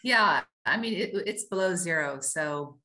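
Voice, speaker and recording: A woman from California calls into an online meeting. Her voice sits a little low, and she chats casually with plenty of fillers over the call. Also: lively intonation, measured pace, pushed and bright. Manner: friendly, chatty with fillers. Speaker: guest caller. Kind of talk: casual chat. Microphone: video call.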